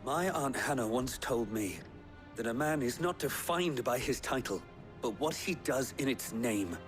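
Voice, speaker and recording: A man's voice: mid-range voice, expressive, measured pace, over music, close to an everyday voice. A man playing an eager young noble who hopes to be a squire, formal and courteous in a game drama. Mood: earnest. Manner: formal, courteous, nervously deferential. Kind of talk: video game drama acting.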